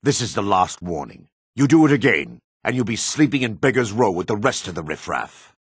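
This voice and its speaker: Fantasy game dark elf, deep-voiced, shifty and greedy merchant, raspy, brusque delivery with lively swings. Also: male voice, a little fast, dry recording, studio quality. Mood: irritated, brusque. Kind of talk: fantasy video game voice acting.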